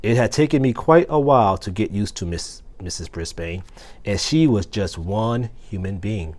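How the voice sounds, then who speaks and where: A man reading a children's story aloud with expressive, deliberate phrasing. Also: medium pitch. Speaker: assistant principal reading aloud.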